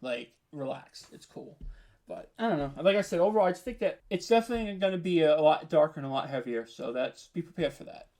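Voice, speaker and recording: A man's voice: on the high side, lively intonation, quick pace, darkish tone. A man speaking as an interview guest in casual, candid chat, peppering his talk with "like" and "you know". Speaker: interview guest, horror audio drama writer.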